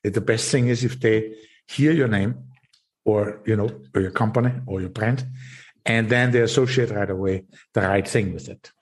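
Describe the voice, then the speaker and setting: A man with an Austrian accent hosting a podcast interview, talking casually and halting over his words with soft laughs. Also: fairly low pitch, fairly even intonation. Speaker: podcast host.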